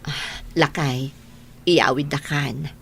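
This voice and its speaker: A woman's voice: low voice, steady tone, takes it slowly, creaky, slight background noise, exaggerated voice. A woman playing a blunt older matriarch in a radio drama. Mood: soothing. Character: scolding, blunt older aunt or mother.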